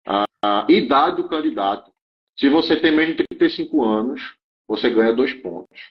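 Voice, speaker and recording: A man walks through a set of rules in an interview setting at a steady, middling pitch. He keeps checking that his listener follows and often restarts half-finished phrases. The sound is a little dull and muffled. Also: moderate pace. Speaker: guest explaining German immigration rules. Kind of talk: explanatory interview talk.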